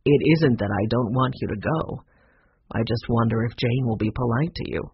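A storybook narrator voicing a kindly, dignified elderly grandfather, speaking in a slow, measured, gentle way. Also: medium pitch, dull audio, slight background noise, voice pushed down, close to an everyday voice. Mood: tender.

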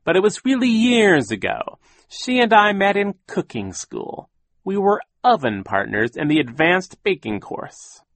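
An oily, theatrical villainous captain. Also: male voice, a little high, wildly swooping pitch, normal speed, sharp tone, no room sound, voice pushed up, full character voice. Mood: mock mournful. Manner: theatrical, gushing insincerity. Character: oily scheming villainous sea captain, falsely sentimental.

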